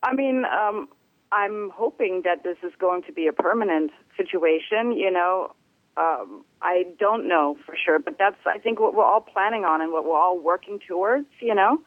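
A woman from Germany, a bass player, answering interview questions in a relaxed, hesitant way with many fillers. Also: moderate pace, no echo, phone-quality sound. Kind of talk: casual phone interview.

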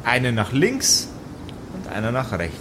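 A man narrating an improvised tabletop adventure as game master, with deliberate phrasing and dramatic pauses. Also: medium pitch, wildly swooping pitch, background noise.

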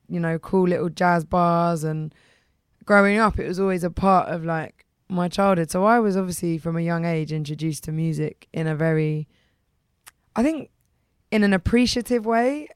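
A woman singer with a husky, rough voice, hoarse today, chatting casually in an interview and saying "like" a lot. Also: lowish voice, no room sound, studio quality. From England. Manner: chatty, repeats "like", quick restarts. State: hoarse from heavy use. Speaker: singer, guest.

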